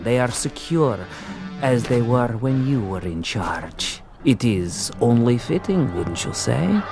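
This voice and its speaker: Grave ex-prisoner, radio drama, mid-pitched, unhurried, coldly ironic, relentless accuser, faint music behind. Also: male voice. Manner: slow, measured, solemn, bitterly ironic delivery. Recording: music under the voice. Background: dramatic underscore music.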